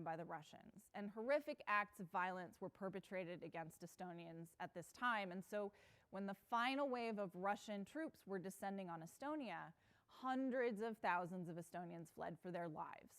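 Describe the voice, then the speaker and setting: Woman giving a talk on stage, earnest and personal, a few ums and restarts, stage mic. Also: medium pitch. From United States (Pacific Northwest). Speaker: conference speaker. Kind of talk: conference talk. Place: conference stage.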